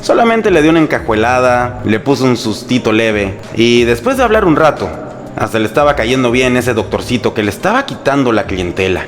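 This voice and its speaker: A man's voice: mid-range voice, very animated, brisk. A man with a Mexican accent narrates history from a script in a humorous, sarcastic and colloquial storytelling style. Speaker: host and narrator. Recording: music bed under the voice. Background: background music.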